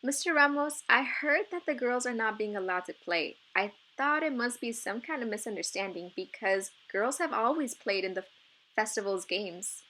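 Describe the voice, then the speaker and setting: A woman's voice: a little high, lively intonation, moderate pace, bright, forceful tone, rough recording. Woman voicing an earnest, diplomatic young soccer girl. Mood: earnest, persuasive. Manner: polite, persuasive.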